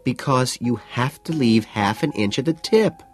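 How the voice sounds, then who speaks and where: A male narrator acts out an irritable young woman from an audiobook novel. The voice sits far higher than his natural one and leaps around in pitch, gasping and shrill with indignation. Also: animated, unhurried, soft background music, lightly acted. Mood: curt, impatient. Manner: shrieking, indignant delivery.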